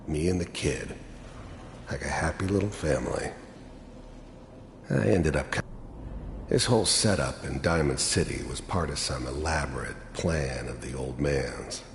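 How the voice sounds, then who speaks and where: An actor playing a cynical, hardened middle-aged mercenary in a video game, with a gravelly voice and a wry, world-weary way of confiding. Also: male voice, a little slow, long pauses. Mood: wry, resigned. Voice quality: gravelly, very deep. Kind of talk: video game character monologue. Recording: echoey hall reverb with background noise.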